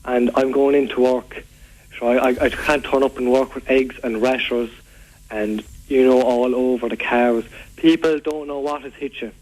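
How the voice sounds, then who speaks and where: Irish male, Waterford accent, acting as a fed-up local phoning in to radio, earnest, repetitive, mid-pitched. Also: steady tone, moderate pace, darkish tone, close to an everyday voice. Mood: indignant. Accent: Irish, County Waterford. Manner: rambling, repetitive, earnest complaining. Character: aggrieved, rambling local man fed up with food-throwing youths. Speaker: radio phone-in caller. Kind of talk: prank call to a local radio show. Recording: phone call on local radio.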